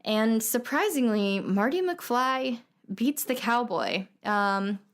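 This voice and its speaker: A woman's voice: fairly high pitch, lively intonation, slow pace. A woman telling an anecdote casually, in a meandering, hesitant, conversational way. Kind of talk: improvised casual storytelling.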